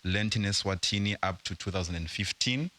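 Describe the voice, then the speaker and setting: Young adult man from Zimbabwe, interview guest; low pitch, bright tone, measured delivery. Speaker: guest entrepreneur. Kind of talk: casual interview.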